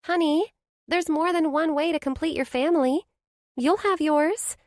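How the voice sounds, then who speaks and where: A woman voicing a bubbly young best friend who talks in a gentle, reassuring, lilting way. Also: high-pitched, moderate pace, no room sound, studio quality, pitched above the performer's own voice, lightly acted. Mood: compassionate. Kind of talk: audiobook character voice.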